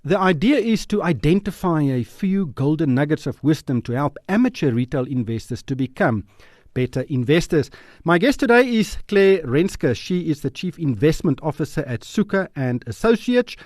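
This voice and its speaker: A man's voice: on the high side, very animated, moderate pace, darkish tone, no room sound, clean studio sound. A man hosting an interview, reading a scripted introduction and then asking questions. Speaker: interview host.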